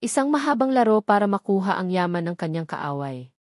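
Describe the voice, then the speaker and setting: A woman's voice: medium pitch, very animated, brisk, darkish tone, no room sound, clean studio sound. A woman narrating a scripted dramatic story.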